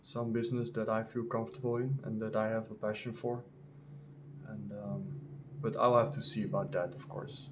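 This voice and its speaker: A Dutch man in his thirties, a native Dutch speaker talking in English, vlogging in a casual unscripted monologue full of ums, pauses and restarts. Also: lowish voice, little pitch movement, noisy background. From Netherlands. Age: 30s. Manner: halting, many ums and restarts.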